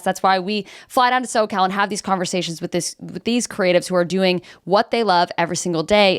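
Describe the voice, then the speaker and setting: A woman's voice: medium pitch, no echo, clean studio sound. An American woman co-hosting a podcast, addressing listeners in an enthusiastic, encouraging, conversational manner. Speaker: podcast co-host.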